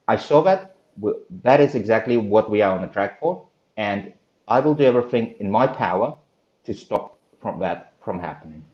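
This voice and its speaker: Man in his 30s, earnest and halting, roomy echo, dull lo-fi sound. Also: fairly low pitch. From Russia. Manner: earnest, halting, many uhs and restarts. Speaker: political candidate, guest. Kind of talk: interview answers. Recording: echoey room, dull lo-fi sound.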